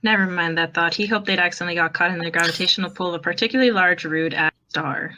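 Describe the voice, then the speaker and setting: Bright, lower-pitched woman narrator. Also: quick pace, a little hiss or hum, low-quality recording. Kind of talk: expressive narration of fan fiction.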